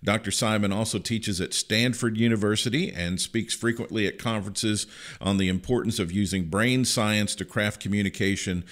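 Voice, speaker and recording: A man's voice: on the low side, steady tone, normal speed, a bit bright. A middle-aged man hosting an interview podcast, introducing and questioning his guest in an upbeat, friendly way. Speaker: podcast host.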